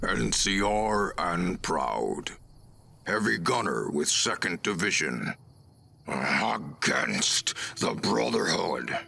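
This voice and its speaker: A gentle old super mutant soldier from a video game, with a very deep voice full of gravel. He speaks slowly and haltingly, carrying old sorrow, with a hard forceful edge and faint noise behind him. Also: very animated, full character voice. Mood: proud, friendly. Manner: slow, halting, deliberate delivery. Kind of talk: video game character dialogue.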